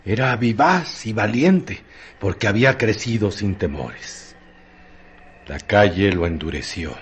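Male radio drama narrator: lowish voice, very slow grave phrasing, faint noise behind.

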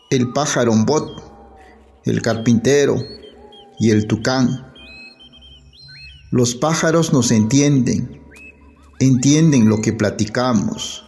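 Slow, solemn poet reciting. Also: male voice, medium pitch, vocal fry, darkish tone. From Sierra Sur of Oaxaca, Mexico. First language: Zapotec. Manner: measured, solemn recitation with long pauses. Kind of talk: recited poetry reading.

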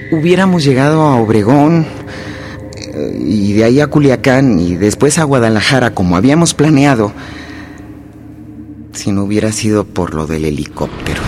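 A man acting in a radio play as a plain-spoken rural Mexican fugitive rebel, recounting his flight in a conversational, unhurried way. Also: medium pitch, darkish tone, slight background noise, close to an everyday voice. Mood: reflective. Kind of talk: radio drama monologue, first-person storytelling.

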